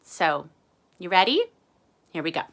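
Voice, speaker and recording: Slow, lively woman reading aloud to children. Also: lowish voice, vocal fry. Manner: conversational, asks the children questions, explains gently. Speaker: kindergarten teacher. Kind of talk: read-aloud to young children.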